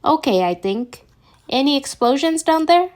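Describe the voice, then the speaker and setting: A woman's voice: high voice, expressive, moderate pace, a little mellow, voice pushed up. A woman voicing an eager, awkward young man acting as boss in a fan fiction story, with a polite manner. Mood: unsure.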